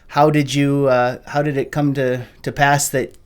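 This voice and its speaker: A man hosts a relaxed interview, his voice sitting at an ordinary pitch. He asks long, loose questions and stumbles through them with restarts and uhs. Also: unhurried, clean tone. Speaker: podcast host, interviewer. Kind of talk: casual interview.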